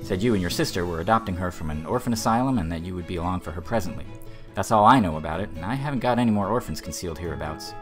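A man playing a brisk, wry, offhand small-town station master in an audiobook. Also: sits low, lively intonation, over music. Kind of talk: audiobook character acting.

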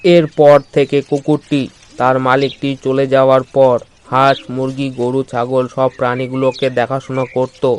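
A man's voice: mid-range voice, monotone, measured pace. A man narrating a scripted children's story as its storyteller. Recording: loud background noise, animal sounds.

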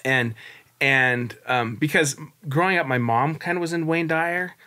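Guy in his 40s, bright crisp voice, rambling and candid as a podcast guest. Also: on the high side, measured pace. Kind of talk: casual interview storytelling.

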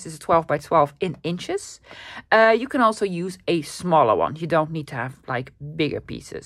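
A woman's voice: on the low side, no room sound. Dutch-accented woman, craft channel host, chatty and self-deprecating, at a home craft desk, dry close-miked sound. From Netherlands. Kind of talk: casual craft tutorial.

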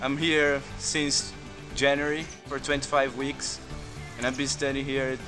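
Flat-voiced man, Portuguese native speaker. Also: medium pitch, a little slow, music in the background. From Brazil. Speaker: language student.

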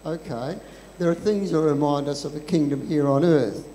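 Older man with a mellow voice and dry jokes. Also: a little high, normal speed. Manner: dry, joking asides. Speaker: church officer, co-presenter. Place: large church hall. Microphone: handheld microphone. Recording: long hall reverb, faint noise.

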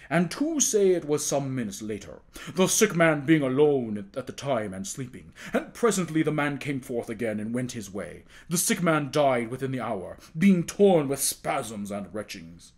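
A man's voice: mid-range voice, big pitch swings, normal speed, darkish tone. A man voicing a deferential under-sheriff in an audiobook, reporting formally to his sovereign. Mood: factual. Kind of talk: dramatized audiobook reading.

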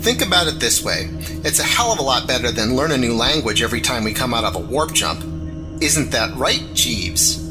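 An actor playing an enthusiastic, patient, mildly exasperated spaceship technician in an audio drama, explaining things breezily. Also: male voice, medium pitch, very animated, a little fast, music in the background, close to an everyday voice, poor audio. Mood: reassuring, exasperated.

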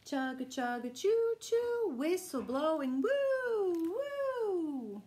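A middle-aged woman reading a picture book aloud as a children's storytime host, with a deliberate, singsong delivery. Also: very high voice, sing-song, a little mellow. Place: small room at home, indoors. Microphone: camera a few feet away.

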